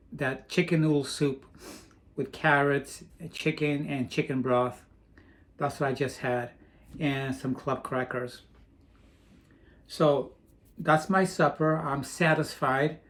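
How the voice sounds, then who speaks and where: A man from Portugal chatting casually in a vlog, rambling with frequent restarts. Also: fairly high pitch. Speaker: vlogger. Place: home in Florida.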